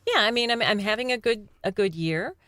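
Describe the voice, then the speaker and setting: A middle-aged woman from Wisconsin with a Wisconsin accent, a voice actor talking candidly and self-deprecatingly, laughing and clearing her throat often. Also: mid-range voice, brisk, a bit bright, no room sound, clean studio sound. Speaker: voice actor guest. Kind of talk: casual chat.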